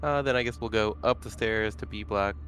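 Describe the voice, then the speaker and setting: A man's voice: on the low side, fairly quick, fairly bright tone. A man playing a tabletop game, talking in a casual, conversational way. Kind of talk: casual chat. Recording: background noise on the mic.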